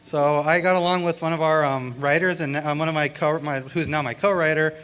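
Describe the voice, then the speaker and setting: Higher-pitched man presenting at a panel. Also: steady tone, fairly quick, a bit bright, clear, resonant tone. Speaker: panelist and series co-writer. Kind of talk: live convention panel talk. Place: convention hall. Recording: faintly noisy hall recording.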